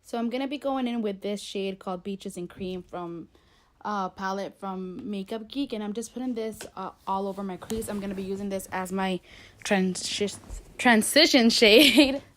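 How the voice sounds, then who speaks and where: A woman's voice: medium pitch, moderate pace, a bit bright. Young female beauty vlogger, stuffy from a cold, rambling and chatty, close camera mic in her bedroom. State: congested from a cold. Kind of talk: makeup tutorial narration. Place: bedroom at home.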